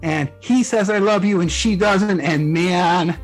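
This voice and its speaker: A man's voice: sits high, measured pace. A man talking casually as a guest writer being interviewed about his work. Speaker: guest author, fan fiction writer. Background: background music.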